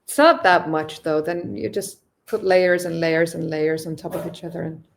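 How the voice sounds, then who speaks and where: A woman from Finland with a lowish voice talks through her travels at an easy pace. She often stops and restarts her sentences as she searches for words. It sounds like relaxed podcast conversation. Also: moderate pace, gravelly. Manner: hesitant, many restarts, thoughtful. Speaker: podcast guest, long-distance cyclist. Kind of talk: casual interview chat.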